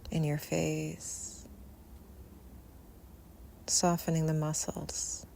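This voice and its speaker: A woman softly guiding a meditation without hurry, with long pauses and breath at the ends of her phrases. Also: low-pitched, monotone, gravelly, rough recording. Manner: soft, unhurried, with long pauses. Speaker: meditation guide. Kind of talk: guided Yoga Nidra meditation. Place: outdoors in nature. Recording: outdoor recording with faint ambient noise. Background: faint natural ambience.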